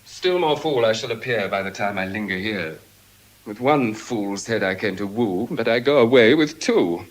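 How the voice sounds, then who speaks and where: A man's voice: fairly low pitch, expressive, normal speed, natural voice, poor audio. An actor playing a proud, pompous nobleman suitor, declaiming classical verse. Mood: indignant, dismayed. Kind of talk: classical stage verse acting.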